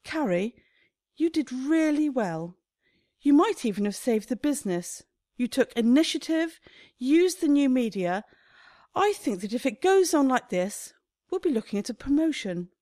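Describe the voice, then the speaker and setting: An actress playing a brisk, self-important female shop owner in a radio comedy, haughty and expressive. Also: high-pitched, no echo, studio quality. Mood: pleased. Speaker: actor in amateur radio play.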